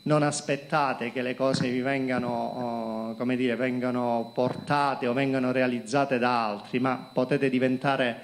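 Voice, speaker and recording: A middle-aged man from Calabria giving a halting talk to students at a lectern, with many fillers and repeated words, into a handheld microphone in a conference hall. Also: mid-range voice, little pitch movement. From Calabria, Italy. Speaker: company executive presenting to students. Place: conference hall with projector screen.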